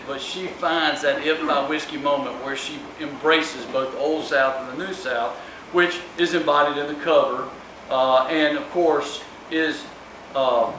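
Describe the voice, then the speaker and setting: A man giving a public speech as an author at a live book event. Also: a little high. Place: room at a live event, distant microphone. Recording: echoey, noisy room recorded from far off.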